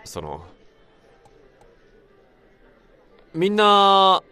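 A man playing a blunt, teasing but caring old friend in a radio drama, speaking casually and roughly. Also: sits high, huge pitch swings, slow, sharp tone, a faint crowd, heavily acted. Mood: teasing, candid.

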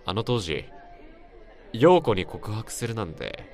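Lively, blunt young male friend. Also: on the low side, slow, a faint crowd, full character voice. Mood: reassuring. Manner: casual, rough-spoken. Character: blunt, teasing but caring male old friend. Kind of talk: radio drama acting.

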